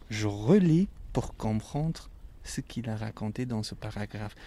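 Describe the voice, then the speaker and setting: Emotional man speaking French haltingly. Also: mid-range voice, darkish tone. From Afghanistan. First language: not French. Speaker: interviewee. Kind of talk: personal testimony.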